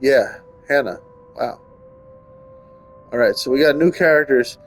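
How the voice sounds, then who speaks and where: Mid-pitched man playing through a game. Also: a little slow, soft background music. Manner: stumbles and rereads while reading aloud. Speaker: gaming streamer. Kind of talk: casual game commentary and reading aloud.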